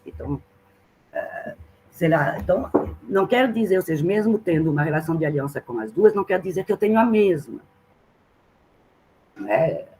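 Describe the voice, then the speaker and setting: A woman's voice: lowish voice, expressive, moderate pace, pauses often, a little mellow, a little hiss or hum, low-quality recording. A woman from France with a French accent in Portuguese, giving a reflective academic talk as a guest speaker and thinking aloud with many fillers and tag questions. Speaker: guest speaker, translation professor. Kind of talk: academic talk in an online seminar.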